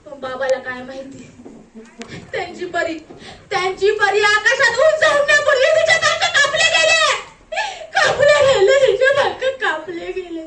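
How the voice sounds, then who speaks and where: A woman's voice: extremely high pitch, animated, measured pace, bright, forceful tone, exaggerated voice. A woman playing an anguished, defiant young acid attack survivor in a melodramatic, tearful theatrical monologue, repeating her phrases. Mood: grief-stricken. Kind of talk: theatrical one-act stage monologue. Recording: echoey room, distant mic, noisy.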